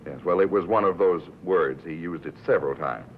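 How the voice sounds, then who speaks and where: Deep-voiced, hesitant doctor, tinny film. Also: male voice, fairly quick. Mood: dryly evasive. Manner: hesitant, understated. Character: reluctant, dry, deep-voiced male hospital doctor. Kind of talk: 1950s film drama acting. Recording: tinny, narrow 1950s film soundtrack, faint noise.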